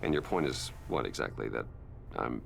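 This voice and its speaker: An actor playing a guarded, wealthy businessman in a video game, courteous and measured but defensive. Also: a bass voice, expressive, creaky, heavily acted. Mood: wary, defensive. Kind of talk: video game dialogue acting.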